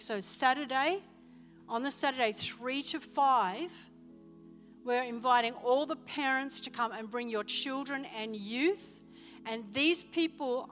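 A woman's voice: a little high, a bit bright. A woman pastor giving enthusiastic church announcements from the pulpit, emphatic and calling out to the congregation. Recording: reverberant church hall with music behind.

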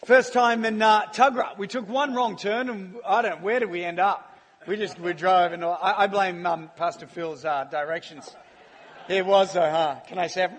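A middle-aged man with a croaky voice, speaking as a guest preacher in a chatty, self-deprecating way with frequent laughs. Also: sits high, fairly even intonation, moderate pace. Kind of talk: casual, joking church talk. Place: large church hall. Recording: live church hall with long reverb.

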